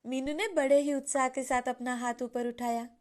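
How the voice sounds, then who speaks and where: A woman's voice: sits high, fairly even intonation, moderate pace, darkish tone. A woman narrator telling a scripted moral story for children. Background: background music.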